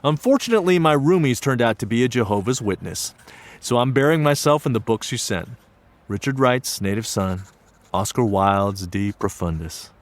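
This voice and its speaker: An actor narrating as an earnest young student, telling his story in an earnest, wry and lively way. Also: medium pitch, moderate pace, close to an everyday voice. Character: earnest young Japanese American male student. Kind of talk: one-man stage play narration.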